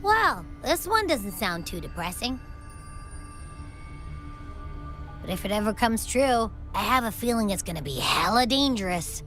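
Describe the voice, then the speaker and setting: An actress playing a small, cheeky, excitable companion creature in a video game, informal and blurting. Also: female voice, medium pitch, normal speed, soft background music. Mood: wry caution.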